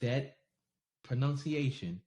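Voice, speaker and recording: A man with a faint New York accent talks slowly and easily, stretching his words in relaxed podcast chat. He laughs often as he goes. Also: mid-range voice. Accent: faint New York, softened by travel. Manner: laid-back, drawn-out, laughs easily. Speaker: podcast co-host.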